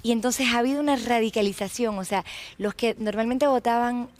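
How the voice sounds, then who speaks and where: A woman's voice: fairly high pitch, brisk, a little mellow. A woman from the Dominican Republic with a Caribbean Spanish accent slightly tinged with Argentine, explaining her points articulately as a political interview guest and at times searching for words.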